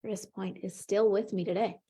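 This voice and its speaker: A woman with a mid-range voice leads an emotional tapping session. She calls out tapping points and gives short phrases for her client to repeat. She stays calm and encouraging throughout. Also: mid-range voice, normal speed, slightly rough. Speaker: EFT tapping coach.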